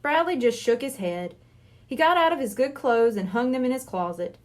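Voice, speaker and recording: A woman narrates a children's story in a high voice. Her reading is expressive and very animated, with wide movement in pitch. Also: normal speed. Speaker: storybook reader.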